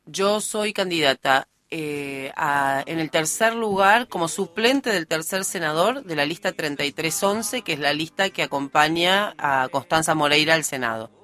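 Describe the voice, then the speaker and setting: A woman's voice: deep voice, lively intonation, normal speed, hardly any pauses, fairly bright tone. A woman in her early forties with a Rioplatense Spanish accent, giving a casual, digressive account of herself as a radio interview guest. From born in Uruguay, raised in Argentina. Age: early 40s. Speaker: interview guest, political candidate. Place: radio studio.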